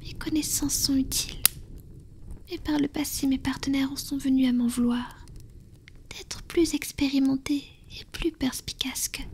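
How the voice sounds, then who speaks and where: A woman voicing an ancient, powerful female vampire and tender lover in a French ASMR romance roleplay, with a soft breathy whisper and intimate, halting delivery. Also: high voice, a little hiss or hum. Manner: slow, intimate, halting with pauses.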